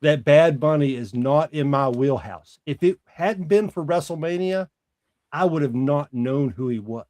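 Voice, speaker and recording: Emphatic man in his forties, slightly higher-pitched. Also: a little slow, darkish tone, no room sound. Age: 40s. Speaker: sports talk podcast host. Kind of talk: casual opinionated commentary.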